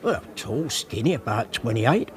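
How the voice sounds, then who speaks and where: A man performs a working-class London informant for a British radio drama. He talks in a confiding, furtive way, with a streetwise London accent. His mid-range voice is lively in pitch. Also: measured pace, lightly acted. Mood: confiding, insistent.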